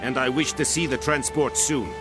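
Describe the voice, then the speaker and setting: An actor playing a stern male army commander in a video game, speaking in a formal, measured, commanding way. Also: medium pitch, steady tone, natural voice. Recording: game music behind.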